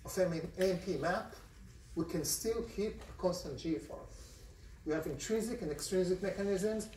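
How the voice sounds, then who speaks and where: Israeli-accented lecturer in an echoey hall. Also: male voice, high voice, darkish tone, slight background noise. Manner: conversational, poses questions to the class. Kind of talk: university lecture. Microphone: phone recording from the audience seats. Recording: distant, roomy lecture hall recording.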